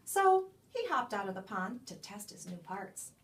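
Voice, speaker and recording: A woman's voice: mid-range voice, big pitch swings, a little slow, a bit bright. Woman reading a children's story, distant mic. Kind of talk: children's picture book read-aloud. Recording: distant microphone.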